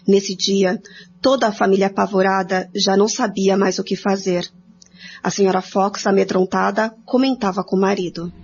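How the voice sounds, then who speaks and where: A woman's voice: mid-range voice, fairly even intonation, normal speed. A woman narrating a radio serial from a script in a storytelling style. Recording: dull recording with music.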